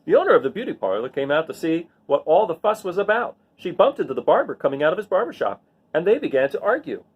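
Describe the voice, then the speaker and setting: A man's voice: fairly high pitch, fast talker, breathy edge, phone-quality sound. A man reading a picture book aloud expressively, with casual asides. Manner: expressive, acts out the lines. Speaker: read-aloud storyteller.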